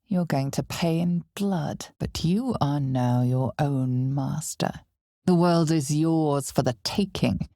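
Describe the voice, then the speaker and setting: A woman voicing a grave, ominous narrator of a dark fantasy video game, speaking in measured, deliberate dramatic narration with a breathy, husky voice that often drops toward a whisper. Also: low voice, no room sound, studio quality. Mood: ominous, hushed. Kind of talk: scripted dramatic second-person fantasy narration.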